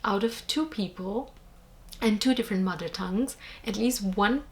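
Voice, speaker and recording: A woman's voice: medium pitch, normal speed. A young woman from India with an Indian accent, explaining things earnestly to camera in a casual vlog. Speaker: vlogger.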